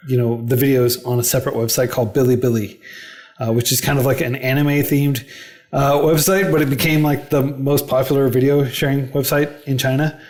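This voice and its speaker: Casual male interview guest, mid-pitch, rambling and explanatory, fills pauses with "like". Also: a little mellow. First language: English. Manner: chatty, explanatory, lots of "like" and "you know" fillers. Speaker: interview guest, head of a coding non-profit.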